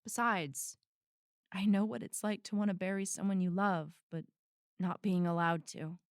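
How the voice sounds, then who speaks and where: A woman's voice: medium pitch, steady tone, moderate pace, dry recording, clean studio sound, close to an everyday voice. A woman playing a guarded, principled and blunt activist in a detective audio drama. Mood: defiant. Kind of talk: naturalistic audio drama acting.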